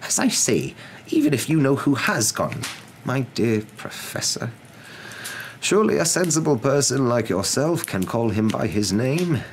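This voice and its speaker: A man voicing a gentle, calm old wizard with a courteous, unhurried and whimsical way of talking, in a storybook reading. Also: mid-range voice, pitched above the performer's own voice. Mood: earnest, calm. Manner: unhurried, courteous, mildly amused. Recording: clear background noise.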